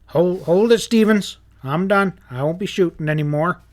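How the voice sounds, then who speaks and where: A man's voice: sits high, moderate pace, darkish tone, pitched above the performer's own voice, natural voice. A man voicing a desperate, defensive jailed rancher in a western story, urgent and dogged. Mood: beaten, pleading.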